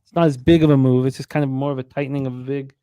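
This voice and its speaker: A man hosting a sports betting talk show, running through odds, weather and pitcher stats with plenty of fillers. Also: racing, smooth, dry recording. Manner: reads out odds and stats in a steady run, with fillers.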